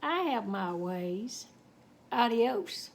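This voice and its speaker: A self-assured young woman from a storybook, acted out by a woman reader. She speaks slowly in a high voice, polite but cool and firm. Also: animated, creaky, full character voice.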